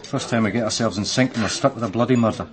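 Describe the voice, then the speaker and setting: A man's voice: lowish voice, rapid-fire, close to an everyday voice. An actor playing a dry, weary male detective sergeant in a radio crime drama, speaking plainly and with understatement.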